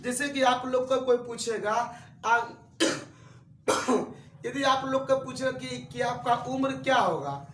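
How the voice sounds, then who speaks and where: A man's voice: very high-pitched, unhurried, a bit bright, reverberant, far from the mic. A man in his twenties teaching math, who repeats each step and asks his students questions. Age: 20s. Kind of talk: classroom-style lesson.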